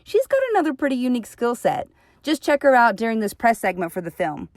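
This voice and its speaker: Woman host reading her script, kinda high voice, super dry close mic. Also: big pitch swings, quick pace, dry recording. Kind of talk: scripted narration.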